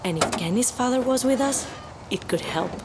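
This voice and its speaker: A woman playing a composed, measured female researcher with a concerned manner in an audio drama. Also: a little high, expressive, very noisy. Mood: concerned, earnest.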